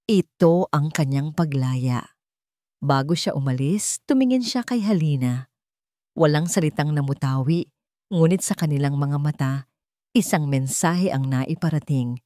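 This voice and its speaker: A woman's voice: deep voice, mellow, no room sound, studio quality. A woman narrating a scripted dramatic story.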